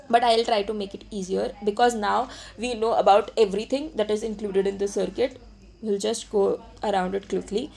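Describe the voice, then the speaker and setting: A woman's voice: a little high, gravelly, darkish tone. A woman with an Indian accent giving an informal step-by-step lecture, switching constantly between Hindi and English and repeating her points. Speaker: physiotherapy teacher.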